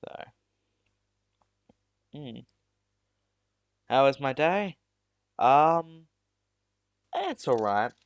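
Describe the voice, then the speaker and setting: A man's voice: mid-range voice, big pitch swings, extremely slow, long pauses, no echo, studio quality. A teenage boy from South Australia, chatting casually with viewers on a live stream, rambling and making fun of himself. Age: teens. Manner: rambling and self-deprecating, with many restarts. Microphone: new USB mic.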